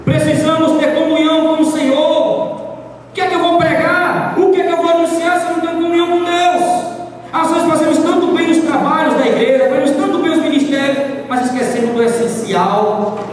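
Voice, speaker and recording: A man preaching a sermon, swinging from loud high outbursts to low asides. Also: steady tone, fairly quick, slight background noise. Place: large church hall. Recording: echoey hall from far off.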